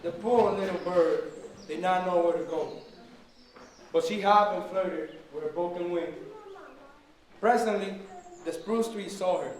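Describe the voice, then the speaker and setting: Man as an old forest king, kindly puppet-show storyteller, highish voice, very echoey distant recording. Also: unhurried, pauses often, darkish tone. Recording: very echoey room, distant mic, faint noise.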